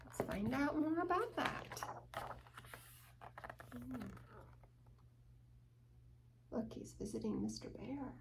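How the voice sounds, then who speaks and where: A woman reads a picture book aloud to young children. Her voice is high and very expressive, with very slow, dramatic emphasis and inviting questions to listeners. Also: background noise. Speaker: children's story-time host.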